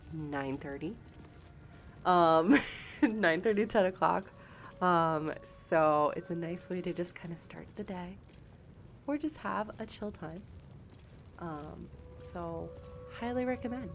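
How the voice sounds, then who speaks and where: A woman's voice: lowish voice, slight background noise. A woman giving casual, chatty live game commentary, talking informally to viewers and to the characters. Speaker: live streamer.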